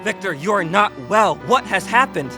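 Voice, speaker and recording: An actor playing a concerned, caring young friend in a radio play, solicitous and emphatic. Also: male voice, sits high, lively intonation, normal speed, music in the background. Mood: alarmed concern.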